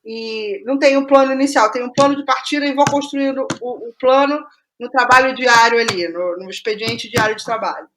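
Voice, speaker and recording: High-voiced Brazilian woman, reflective and informal. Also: fairly quick, background noise. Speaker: playwright guest. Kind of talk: conversational interview about creative process.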